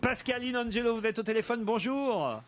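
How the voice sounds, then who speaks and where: A man hosting a radio panel show, bantering and telling jokes in an animated, theatrical way. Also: extremely high pitch, brisk.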